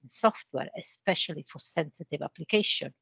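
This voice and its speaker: A woman with an Italian accent, a guest in a business interview, explaining with homely comparisons in a measured way. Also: low voice, vocal fry, fairly bright tone, breathy edge, dry recording. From Italy. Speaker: interview guest, channel manager.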